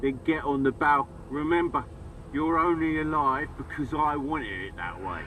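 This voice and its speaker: An actor playing a swaggering, unhinged military colonel in a video game, barking and taunting theatrically. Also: male voice, fairly high pitch, normal speed, sharp tone, distant mic, slight background noise, low-quality recording. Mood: smug. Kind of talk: video game character acting.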